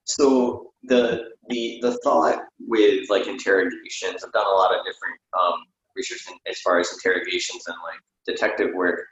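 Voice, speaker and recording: A man, a playwright, casually discussing his work in a hesitant, halting way. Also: deep voice, steady tone, a bit bright. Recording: muffled, echoey room audio.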